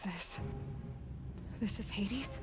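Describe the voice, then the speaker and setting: A woman's voice: mid-range voice, very slow, loud music behind the voice. An actress playing a wary, defiant young female adventurer in video game dialogue. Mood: stunned.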